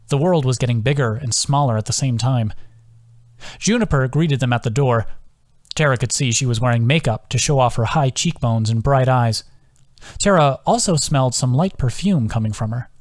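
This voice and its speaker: A man's voice: mid-range voice, no room sound, studio quality. A man reading his own fantasy novel aloud as scripted audiobook narration.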